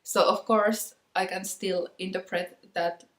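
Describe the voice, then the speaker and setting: A Finnish woman speaking English as a second language, chatting slowly and thoughtfully to her viewers. Her voice sits at an ordinary middle pitch, and she hesitates often, restarting her phrases. The sound bounces around a reverberant room. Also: fairly even intonation. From Finland. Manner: hesitant, many ums and restarts. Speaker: knitting podcast host. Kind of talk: casual chat to camera. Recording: echoey room.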